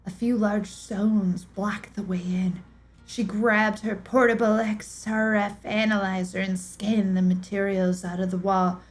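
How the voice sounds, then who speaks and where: A woman streamer giving a theatrical, measured dramatic reading of game lore. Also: mid-range voice, steady tone. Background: music.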